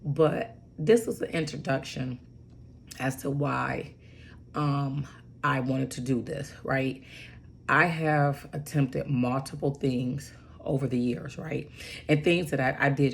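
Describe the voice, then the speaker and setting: A hoarse woman in her forties with a raspy voice, rambling through a casual story with lots of fillers and "right?" asides. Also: very deep voice, slight background noise. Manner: rambling, goes off on tangents with many fillers; emphatic, keeps asking "right?". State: hoarse from bronchitis. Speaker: registered nurse.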